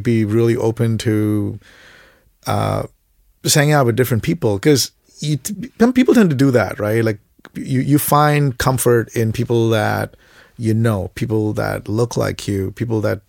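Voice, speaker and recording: A man's voice: mid-range voice, vocal fry, no echo, clean studio sound. A man from India in his thirties, a podcast guest telling stories casually, with many stammered restarts and fillers, acting out the voices of the people he quotes. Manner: animated, acts out quoted voices, laughs. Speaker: podcast guest, creative director. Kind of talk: casual interview storytelling.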